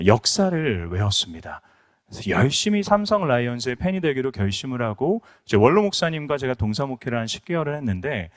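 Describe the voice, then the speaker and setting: A middle-aged male pastor from Incheon giving a conference lecture, telling stories earnestly with many fillers. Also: mid-range voice. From Incheon, South Korea. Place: conference hall.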